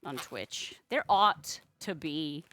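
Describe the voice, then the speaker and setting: A woman live-streamer bantering as she works, with a bright, forceful voice. She takes her time, sounding playful and dryly self-mocking. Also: sits low, lively intonation, slow pace, slight background noise. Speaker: balloon artist live-streamer.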